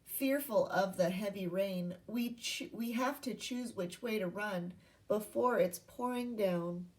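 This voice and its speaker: A woman's voice: medium pitch, measured pace, darkish tone, far from the mic, rough recording. A woman teacher giving a read-aloud lesson to young children, speaking in simple instructional phrases and asking questions. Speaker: primary school teacher.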